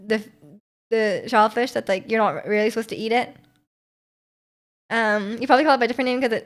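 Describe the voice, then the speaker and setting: A young woman streamer in casual chat, rambling through viewer comments with lots of fillers and restarts. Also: on the high side. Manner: rambling, many fillers and restarts, frequent laughter.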